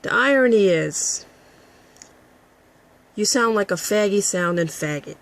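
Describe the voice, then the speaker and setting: Casting: woman, raspy husky voice with gravelly fry, combative profane vlog rant. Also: medium pitch, lively intonation, measured pace. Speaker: vlogger. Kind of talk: addressing a critic to camera.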